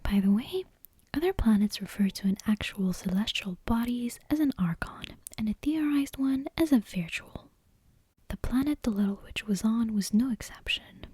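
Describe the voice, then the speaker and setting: A woman reads a bedtime story as ASMR in a breathy, hushed whisper. Her voice is light and mellow, with soft, unhurried, expressive phrasing. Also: a little high.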